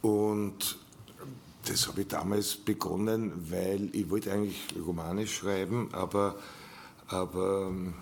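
An older grandfather and author speaking reflectively at a panel evening, measured and given to chuckling. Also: low voice, steady tone, a little mellow. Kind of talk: panel discussion at a reading.

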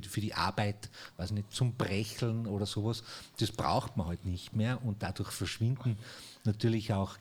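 A man's voice: lowish voice, a little mellow. A man hosting a regional radio interview, asking long conversational questions with frequent fillers. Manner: conversational, many fillers and restarts. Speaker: radio host.

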